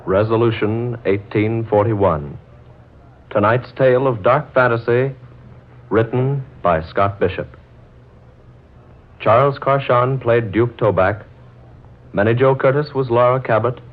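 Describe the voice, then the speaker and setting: Male American announcer, scripted vintage radio credits style, medium pitch, very lo-fi sound. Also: measured pace, pauses often. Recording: muffled 1940s radio broadcast.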